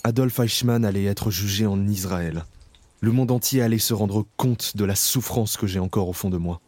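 An actor gives a grown survivor narrating his memories in a slow, reflective tone. His voice sits low, with soft music in the background. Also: natural voice. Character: grown male camp survivor recalling his past. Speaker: first-person narrator. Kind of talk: scripted radio drama narration.

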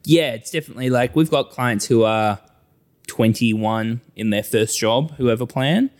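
A man's voice: medium pitch, moderate pace. A man with a New Zealand accent talking as a financial adviser in a conversational interview, often voicing imagined clients to illustrate his explanations.

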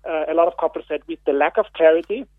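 A man from Austria with an Austrian accent, a guest on a radio phone-in, talking haltingly with many fillers and repeated words. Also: on the high side, no room sound. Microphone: telephone. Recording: tinny phone line.

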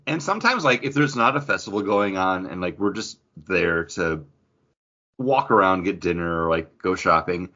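A man with a low, forceful voice chats casually as a podcast co-host. He slips into quoted dialogue as he tells stories. The recording is slightly dull and lo-fi. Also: animated, moderate pace. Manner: anecdotal, acts out remembered dialogue. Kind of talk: casual chat among friends. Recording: dull, band-limited audio.

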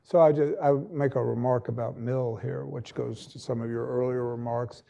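A man's voice: mid-range voice, fairly even intonation, moderate pace, gravelly, warm tone. A man on a panel making a professorial point about a philosopher, then putting a pointed question to the room. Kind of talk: academic panel remark.

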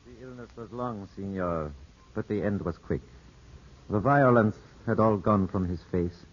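An actor playing a courteous, evasive Italian lawyer in a formal, measured 1950s radio drama style. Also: male voice, on the low side. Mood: grave, composed. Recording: muffled, scratchy 1950s radio broadcast.

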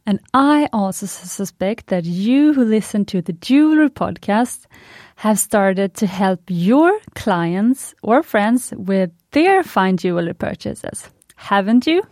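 A woman's voice: mid-range voice, animated, slow, darkish tone, no echo. Woman, Swedish native speaker, podcast host, enthusiastic, punny, dry close sound. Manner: chatty, enthusiastic, fond of puns. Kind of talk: scripted podcast monologue.

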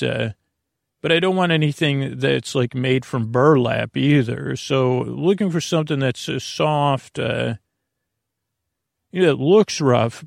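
A man with a creaky voice hosts a bedtime podcast, talking to the listener in a soothing, meandering, stammering monologue. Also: medium pitch, dry recording, studio quality. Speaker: podcast host.

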